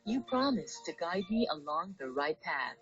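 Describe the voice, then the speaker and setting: Lively, low-voiced woman announcer over music. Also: a little slow, slightly rough. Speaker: radio station announcer. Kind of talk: scripted radio prayer and announcement read. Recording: dull lo-fi radio aircheck.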